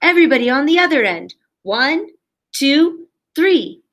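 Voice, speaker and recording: A woman's voice: high voice, sing-song, a little slow, fairly bright tone, pitched above the performer's own voice, full character voice. A woman reading aloud as a brave, kind superhero dog in a children's picture book. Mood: urgent, commanding.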